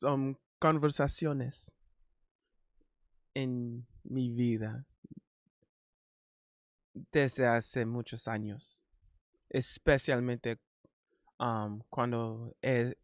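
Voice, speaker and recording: A Haitian man speaking Spanish as a learner, chatting casually on a stream, halting and correcting himself often. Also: medium pitch, steady tone, dry recording, studio quality. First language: not Spanish. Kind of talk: casual chat.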